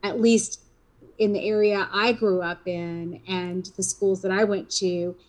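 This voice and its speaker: A woman's voice: mid-range voice, steady tone, measured pace, darkish tone, low-quality recording. Fifties woman, interview guest, rambling conversational delivery. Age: 50s. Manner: rambling, many fillers and restarts.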